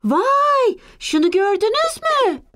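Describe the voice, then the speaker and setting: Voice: extremely high pitch, big pitch swings, takes it slowly, music in the background, exaggerated voice. An actor voicing a curious, eager little boy of about four in a dubbed children's cartoon. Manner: wide-eyed, exclaiming, childlike. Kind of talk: children's cartoon dub acting.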